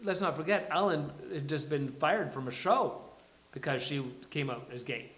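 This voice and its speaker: A man's voice: on the high side, expressive, normal speed, a bit bright. A man hosting a casual panel chat, rambling and jokey as he goes. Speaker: host. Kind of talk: casual panel chat before a live table read. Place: live event room with a small audience. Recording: echoey room.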